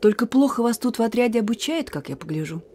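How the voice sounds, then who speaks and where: An actress playing a plucky young partisan courier in a radio drama, speaking in a familiar, teasing, warm-hearted way. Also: female voice, a little high, animated, fast. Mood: exasperated, teasing. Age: young adult.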